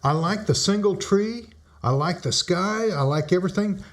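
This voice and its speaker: A man's voice: high-pitched, lively intonation, moderate pace. A man casually reviewing a friend's photos, encouraging and giving short critiques. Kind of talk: casual chat.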